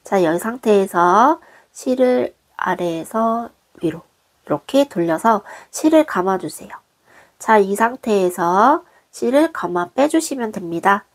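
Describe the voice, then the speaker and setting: A woman, hoarse from a cold, patiently walking viewers through a craft tutorial step by step in a gentle, polite way. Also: mid-range voice, lively intonation, measured pace. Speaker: crochet designer teaching.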